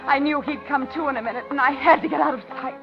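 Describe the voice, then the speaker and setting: A woman's voice: high-pitched, fast. An actress playing a frightened, outwardly polite captive woman who narrates her ordeal breathlessly in a 1950s radio thriller. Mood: panicked. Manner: dramatic, breathless first-person suspense narration. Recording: dull 1950s radio drama, loud music.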